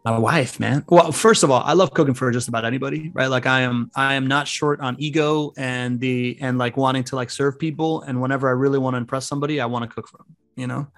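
A man's voice: mid-range voice, fairly even intonation, quick pace, few pauses, a bit bright, no room sound. A man in his thirties, a podcast guest, chatting casually and telling rambling stories with many restarts. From Venezuelan family, grew up outside Venezuela. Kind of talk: casual interview chat.

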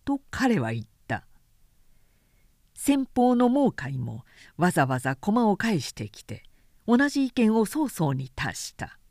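A woman reads literary prose in an expressive audiobook style. Her pace is slow and deliberate, with lively, varied pitch. The recording is very dry. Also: dry recording. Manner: clear, expressive storytelling. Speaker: narrator, reader.

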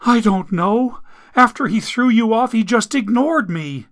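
A man voicing a squeamish, panicky young woman, shrill and whimpering. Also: lively intonation, a little slow, heavily acted.

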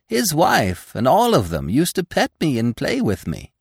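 A man voicing a naive, boastful young male deer in a storybook, with a calm, self-satisfied manner. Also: fairly high pitch, a little slow, creaky, slightly rough, dry recording, studio quality, pitched above the performer's own voice, heavily acted. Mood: proud, boastful. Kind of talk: storybook character voice.

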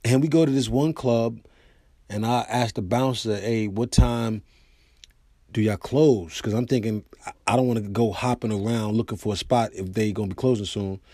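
An American man hosting his own podcast, telling comic stories in a loose, animated way. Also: on the low side, normal speed, dry recording, studio quality. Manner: animated storyteller, acts out quoted voices. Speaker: podcast host and comedian. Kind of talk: casual storytelling monologue.

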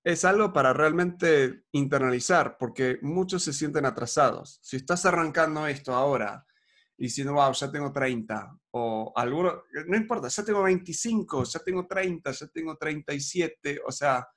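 A man talks like a coach in a relaxed podcast chat. His voice sits a touch high for a man. He keeps an upbeat tone and fills pauses with small hesitations. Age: 40s. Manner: upbeat, coaching tone with frequent fillers.

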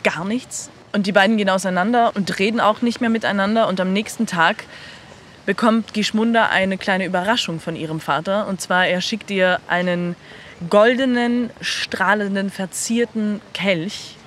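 A woman tells a story in casual, colloquial speech. Her pitch is medium. Faint outdoor city ambience can be heard behind her. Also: moderate pace, a bit bright, a little hiss or hum.

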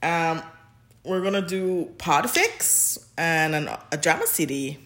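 A woman's voice: low voice, animated, slow, a bit bright. A woman co-hosting a podcast, chatting casually and informally about fan fiction in a giggly, rambling way. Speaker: podcast co-host.

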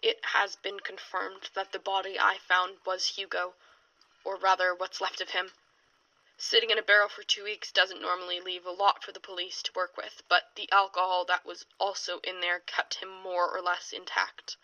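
A woman's voice: on the low side, monotone, moderate pace, sharp tone, no room sound, highs cut off. A woman playing a hard-boiled, world-weary female paranormal investigator in a 1920s noir audio drama, with wry, slangy delivery. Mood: weary, brooding. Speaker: investigator and narrator. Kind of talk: audio drama, noir-style recorded case statement.

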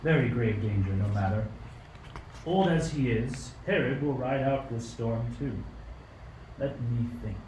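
A commanding, scheming old king of radio drama, acted by a man. He speaks in a mellow, deliberate, regal way. The sound is roomy and a little distant, with faint noise behind it. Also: medium pitch, natural voice. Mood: bitter, plotting. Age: older. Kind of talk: amateur radio play acting. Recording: roomy, distant mic with background hiss.

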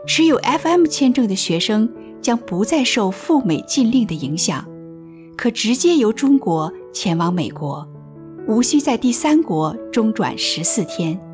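A woman narrating a scripted radio drama in a measured, dramatic manner. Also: on the high side, sing-song, a little mellow, soft background music.

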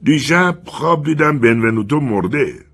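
A man's voice: medium pitch, lively intonation, gravelly, fairly bright tone, pitched above the performer's own voice. A man playing a bitter, greedy middle-aged colonel who speaks harshly.

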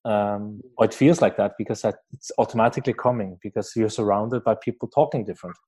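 A man's voice: on the low side, fairly even intonation, quick pace, darkish tone, husky. A man who is not an English speaker, hosting a podcast interview in casual chat, with many fillers, restarts and frequent laughter. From Switzerland. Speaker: podcast host.

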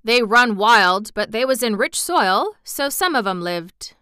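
A woman doing a gruff old gardener. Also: fairly high pitch, lively intonation, a little slow, bright, forceful tone, no echo, studio quality. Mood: grudging. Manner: gruff, grumbling, blunt. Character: grumpy old male Yorkshire gardener.

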